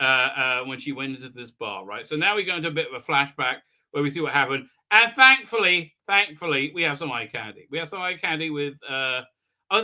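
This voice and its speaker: A man hosting a fan review livestream, giving a rambling, halting, sarcastic recap with repeated words and exasperated outbursts. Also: on the high side, bright, forceful tone. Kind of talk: casual mocking episode recap.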